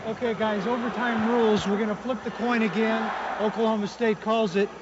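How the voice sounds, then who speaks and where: Male referee, high voice, brisk and procedural, picked up muffled on a noisy field mic. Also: fairly even intonation, a crowd in the background. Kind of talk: on-field official announcements and instructions.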